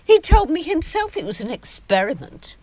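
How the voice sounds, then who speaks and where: A woman's voice: high voice, very animated, normal speed, warm tone, no echo, rough recording. An actress in an audio drama playing a middle-aged, imperious and easily wounded mother, theatrical, emphatic and sardonic. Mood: scornful, exasperated.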